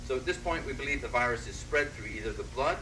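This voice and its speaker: A man playing a grave medical official who gives a sober, deliberate press statement. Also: mid-range voice, far from the mic, noisy background, lightly acted.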